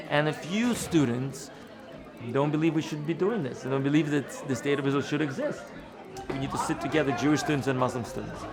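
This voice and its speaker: An older man speaking earnestly about bringing communities together. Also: a little high.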